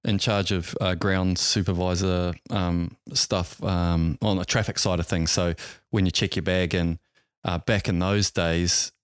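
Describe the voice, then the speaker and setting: A New man in his fifties with a very low, deep voice, recalling his past in a loose, rambling manner. He talks casually as a podcast guest, pausing often on um and uh. The sound is close and dry. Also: flat delivery, clear, resonant tone, no echo. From New Zealand. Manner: rambling, halting, heavy with um and uh. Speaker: guest, helicopter pilot. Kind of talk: casual interview storytelling.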